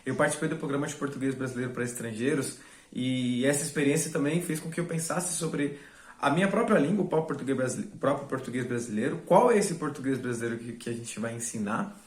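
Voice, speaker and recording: A man talks quickly and thoughtfully about his teaching experience, doubling words to stress a point. His voice carries a noticeable room echo. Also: mid-range voice. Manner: reflective, repeats for emphasis. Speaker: student teaching assistant. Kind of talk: testimonial.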